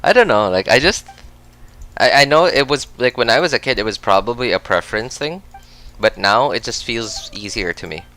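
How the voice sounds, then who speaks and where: A man's voice: medium pitch, lively intonation, moderate pace, pushed and bright. A man from the Philippines chatting casually on a game live stream, answering his chat and laughing often.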